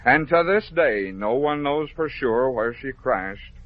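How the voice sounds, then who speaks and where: An American man narrating a scripted story for children as a 1950s radio host, phrasing it clearly and dramatically. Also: mid-range voice, animated, unhurried. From American Midwest. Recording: dull, noisy vintage 1950s radio recording.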